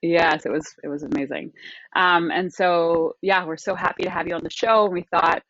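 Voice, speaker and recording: A woman with a lowish voice co-hosts a podcast in friendly, effusive chat. The recording is dry and a little muffled. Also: steady tone, normal speed, a bit bright, dry recording. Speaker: podcast co-host.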